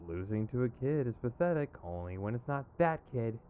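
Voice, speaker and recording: A man's voice: mid-range voice, expressive, a little fast, narrow, tinny audio, natural voice. A man playing an exasperated but principled, protective villain in an audio roleplay, with a sardonic, emphatic, conversational delivery. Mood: exasperated, casual. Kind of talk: ASMR roleplay acting. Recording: clear background noise.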